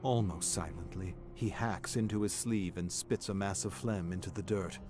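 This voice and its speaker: A man giving measured, theatrical narration of a dark, dramatic scripted story. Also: low-pitched, over music.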